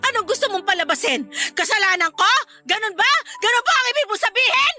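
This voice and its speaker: Woman acting a shrill, anguished Filipino mother. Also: expressive, quick pace, sharp tone, faint music behind, full character voice. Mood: angry, accusing. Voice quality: shrill, tearful. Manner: wailing, melodramatic outbursts. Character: anguished, accusing grieving mother, wife of Danilo. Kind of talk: melodramatic radio drama acting.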